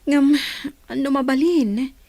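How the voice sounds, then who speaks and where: A woman's voice: high voice, slow pace, dark tone, no room sound. A woman playing an inquisitive, sympathetic aunt in a radio drama, with an Ilocano accent.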